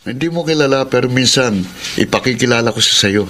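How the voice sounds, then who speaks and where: Actor as boastful young guy, radio drama, cocky, mid pitch, faint noise. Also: fairly quick, bright, forceful tone, close to an everyday voice. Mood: teasing. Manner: cocky and teasing. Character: boastful, teasing young man Jonathan. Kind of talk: Filipino radio drama acting.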